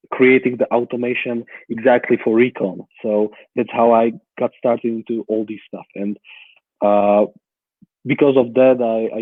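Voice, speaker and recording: A man's voice: mid-range voice, no room sound. Slovak-accented man over a tinny line. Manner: thoughtful, many fillers and restarts. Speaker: guest security researcher. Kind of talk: casual interview chat. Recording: tinny phone-like call audio.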